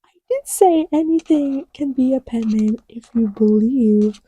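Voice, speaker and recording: Woman playing a soft, dark-voiced, playful girlfriend. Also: high-pitched, slightly rough, no room sound, low-quality recording. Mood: teasing, playful. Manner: soft, halting, murmured, teasing. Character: playful, easily distracted young girlfriend absorbed in a hobby. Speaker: audio roleplay voice actor. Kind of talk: intimate ASMR girlfriend roleplay.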